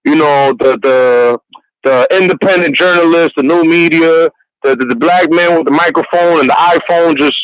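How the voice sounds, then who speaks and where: A young man from New York City rambles through a phone call, restarting his sentences and laughing easily. His voice sits fairly high. The sound is dry, with little room around it. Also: moderate pace, clean tone, no echo. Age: 20s. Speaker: caller. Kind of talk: casual call-in chat.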